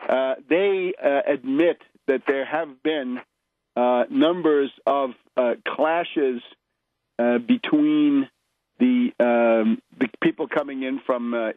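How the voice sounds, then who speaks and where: A man giving political commentary as a radio host, sardonic and emphatic, halting now and then for rhetorical asides. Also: a little high, no room sound, phone-quality sound. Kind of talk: political news commentary, partly improvised.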